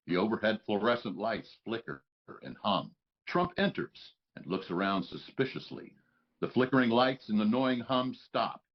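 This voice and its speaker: Male narrator for a table read: lower-pitched, rough husky tone, reading scene descriptions, slightly muffled lo-fi sound. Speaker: stage directions narrator.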